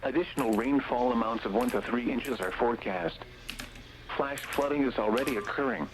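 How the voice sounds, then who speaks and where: A man's voice: medium pitch, poor audio. Synthetic male weather radio alert voice. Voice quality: synthetic text-to-speech voice. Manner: measured, impersonal, item-by-item reading. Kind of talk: scripted emergency weather warning bulletin.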